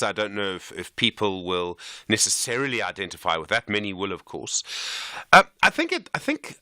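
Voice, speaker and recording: A man's voice: on the low side, pushed and bright, no room sound, clean studio sound. A man hosting a radio interview, asking probing questions and thinking aloud. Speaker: radio host.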